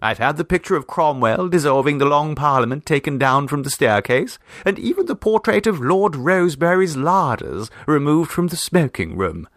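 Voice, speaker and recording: A man acts out a posh Edwardian society wife from a classic short story, pitched high and swooping with emphasis. She is bossy, fussy and considerate, recorded close and dry. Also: sing-song, moderate pace, few pauses, a bit bright, dry recording. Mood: brisk, emphatic.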